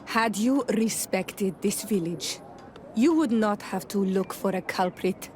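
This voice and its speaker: A woman's voice: mid-range voice, takes it slowly, darkish tone, slight background noise, natural voice. A woman playing a frank, principled young native companion in a video game, speaking earnestly and directly. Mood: reproachful. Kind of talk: video game dialogue acting.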